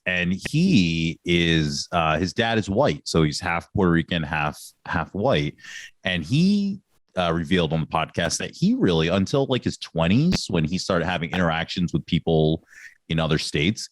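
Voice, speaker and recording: Low-voiced man hosting a casual podcast. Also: no echo, clean studio sound. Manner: chatty, many fillers, chuckles. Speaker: podcast host. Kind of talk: casual interview.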